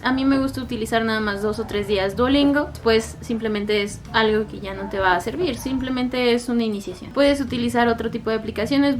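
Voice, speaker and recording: A woman's voice: fairly high pitch, slight background noise. A woman in her twenties with a Mexican accent, chatting directly to the viewer in a casual vlog about how she studies languages. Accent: Mexican Spanish. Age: 20s. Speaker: language-learning YouTuber. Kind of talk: casual explanatory vlog talk.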